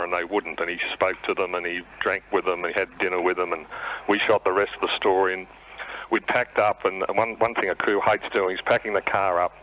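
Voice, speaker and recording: A man's voice: sits low, fairly bright tone. An Australian man telling informal anecdotes as a radio guest, plain-spoken and laconic. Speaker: TV cameraman, radio interview guest. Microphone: telephone. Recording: noisy telephone line on radio.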